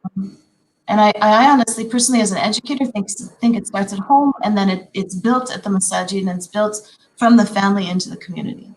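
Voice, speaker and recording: A woman's voice: mid-range voice, fast, low-quality recording. A woman in her forties from Minnesota with a Minnesotan accent, an educator speaking earnestly on a panel with frequent ums and restarts. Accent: Minnesotan American. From Minnesota, USA. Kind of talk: conversational panel discussion.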